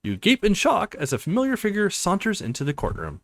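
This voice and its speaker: A man narrates a visual novel for a let's play, dramatizing as he reads. His fairly high voice swings widely in pitch, and the sound is close and dry. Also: fairly quick, no echo. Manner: expressive, dramatized reading. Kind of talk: reading a visual novel aloud, narration and dialogue.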